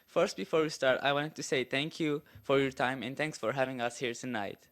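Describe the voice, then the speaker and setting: A man's voice: mid-range voice, steady tone, brisk, a bit bright. A teenage boy giving an earnest, prepared presentation at a school board meeting. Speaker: student presenter.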